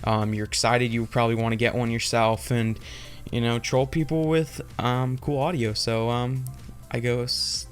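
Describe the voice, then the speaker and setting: A man's voice: medium pitch, fairly even intonation, a little slow. Casual rambling man over music. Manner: informal, rambling, hesitant explaining. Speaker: tutorial host. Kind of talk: casual unscripted screen-capture tutorial.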